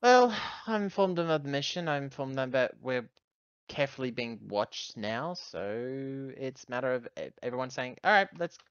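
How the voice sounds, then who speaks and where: A man's voice: mid-range voice, animated, normal speed, a bit bright, clear, resonant tone, no echo, highs cut off. A man chatting casually as a player in a tabletop game.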